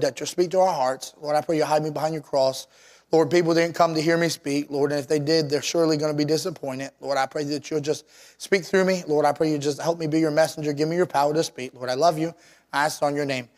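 Scratchy higher-pitched male preacher. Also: fairly even intonation, fast talker, darkish tone. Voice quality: slightly scratchy, worn voice. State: voice worn out and scratchy. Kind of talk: sermon with scripture reading and prayer.

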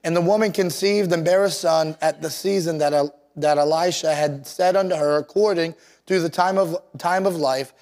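Male preacher, somewhat high-pitched, voice worn and a touch scratchy, unpolished plainspoken sermon delivery. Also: moderate pace, a little mellow. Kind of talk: sermon with scripture reading and prayer.

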